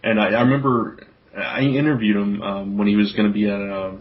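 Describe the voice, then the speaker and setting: Low-voiced man on a muffled recording. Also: little pitch movement, a little fast. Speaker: podcast co-host. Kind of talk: casual chat about comics. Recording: dull, lo-fi podcast audio.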